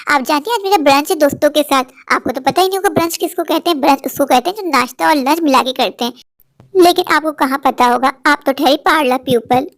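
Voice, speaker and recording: A woman's voice: sits high, fast talker. A woman playing a haughty spoiled young bride. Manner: haughty, showy, boastful.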